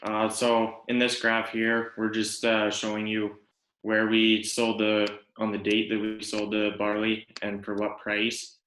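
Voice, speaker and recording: Echoey, slow, low-pitched young Canadian man. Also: completely flat delivery, a bit bright, a little hiss or hum. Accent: Western Canadian. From Alberta, Canada. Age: young adult. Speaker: student presenter. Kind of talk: informal student presentation. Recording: echoey room sound.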